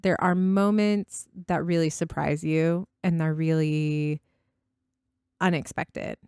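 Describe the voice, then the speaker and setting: A woman's voice: sits low, fairly even intonation, takes it slowly, dark tone, smooth, no room sound, studio quality. A woman co-hosting a casual book podcast, speaking in an enthusiastic, effusive way. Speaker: podcast co-host.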